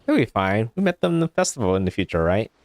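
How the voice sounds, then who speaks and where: Deep-voiced man, casual gamer commentary. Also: big pitch swings, fast talker, a little mellow, dry recording. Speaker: let's player, streamer.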